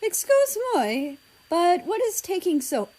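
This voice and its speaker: A gushing mother, played by a woman reading a story. She speaks in a high, sing-song coo, bright with delight and affection. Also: sing-song, takes it slowly, exaggerated voice, rough recording. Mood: puzzled.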